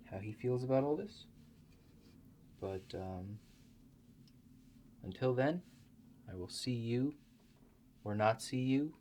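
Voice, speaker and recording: A man performing an improvised ASMR roleplay monologue in a halting, hesitant, deadpan manner. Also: on the low side. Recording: clear background noise.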